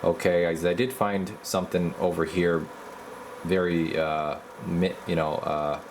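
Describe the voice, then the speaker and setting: A man with a low voice talks casually through hands-on repair work as a technician. His delivery is halting and folksy, like someone thinking aloud, and there is noticeable background noise behind him. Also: fairly even intonation. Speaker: radio repair technician and video host.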